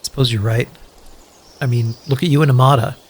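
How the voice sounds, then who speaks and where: An actor playing a sincere, joking wasteland survivor in an audio drama, speaking earnestly and hesitantly with wry, profane humour. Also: male voice, mid-range voice, fairly even intonation, a little fast, slight background noise, natural voice. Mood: wistful. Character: sincere, grateful, joking male wasteland survivor, loyal friend. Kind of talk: audio drama dialogue, naturalistic.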